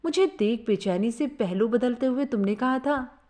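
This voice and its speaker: A woman narrating a scripted first-person story in an expressive, sentimental storytelling manner. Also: fairly high pitch, normal speed.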